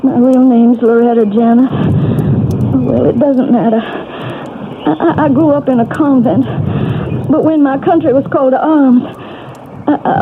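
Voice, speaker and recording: An actress playing an earnest, troubled young woman who speaks haltingly, in an old radio drama. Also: high voice, narrow, tinny audio. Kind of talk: 1970s radio drama acting. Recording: old AM radio broadcast, noisy.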